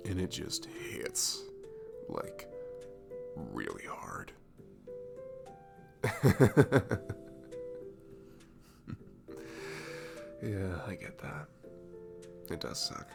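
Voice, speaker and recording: A man playing a sweet, goofy, comforting barista in an intimate ASMR roleplay, speaking softly and haltingly with a faint Southern US accent. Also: deep voice, gravelly, full character voice. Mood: gentle, consoling. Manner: soft, halting, chuckling, drifting into near-whispers. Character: cute, goofy, comforting young male barista, sweet and self-deprecating.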